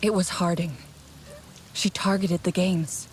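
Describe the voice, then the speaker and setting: Steady young female warrior. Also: lowish voice, slow, a little hiss or hum. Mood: resolute. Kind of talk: video game voice acting.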